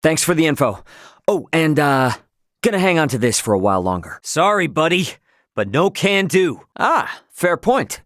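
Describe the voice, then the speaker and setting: A man's voice: a little high, sing-song, normal speed, a bit bright, no room sound, clean studio sound. A male actor playing a young, brash, easygoing but stubborn spaceship captain in a video game, with a casual, slangy, wry manner. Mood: breezy, casual. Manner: casual slangy, wry, loosely drawled asides. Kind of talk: English video game voice acting.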